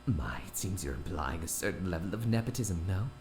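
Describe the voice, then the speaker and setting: A man playing a smug, very deep-voiced older doctor. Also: expressive, a little fast, a little mellow, a little hiss or hum. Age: 50s. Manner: prim, mock-polite drawl. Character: smug older male doctor, oily and condescending. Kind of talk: audio drama acting.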